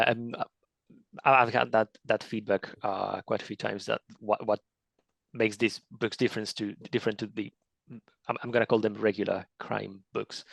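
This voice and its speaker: Gravelly-voiced man telling anecdotes. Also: lowish voice, no echo. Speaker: author. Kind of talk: casual interview chat.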